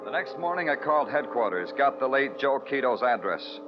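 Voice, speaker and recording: An actor in an old radio crime drama playing a brooding, weary police detective who talks tersely and narrates in moody prose. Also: male voice, medium pitch, big pitch swings, fairly quick, natural voice. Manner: terse, world-weary, poetic. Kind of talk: noir radio narration and dialogue. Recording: muffled old radio recording, music behind.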